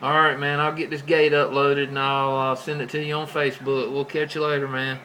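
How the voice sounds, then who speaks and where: A man's voice: medium pitch, flat delivery, a little fast. An American man chatting casually in folksy, colloquial CB radio slang. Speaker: CB radio operator. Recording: clearly noisy CB radio setup.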